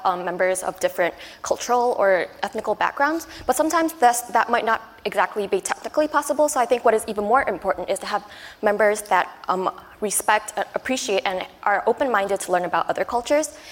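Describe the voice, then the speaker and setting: A woman's voice: medium pitch, lively intonation, fast. A woman from Taiwan answering as a panelist, speaking carefully with fillers and small self-corrections. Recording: hall reverb.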